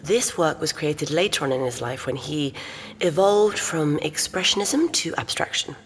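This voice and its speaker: An actress playing a knowledgeable, obliging museum archivist who patiently explains art as a guide in an audio drama. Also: female voice, sits low, expressive, normal speed, a little hiss or hum. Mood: explaining. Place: inside a museum. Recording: reverberant museum hall.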